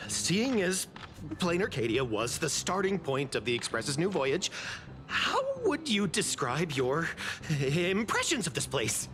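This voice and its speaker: Eager, polished game interviewer: very animated delivery over clear background music. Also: mid-range voice, unhurried. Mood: eager, professional. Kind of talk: anime game acting as TV interview.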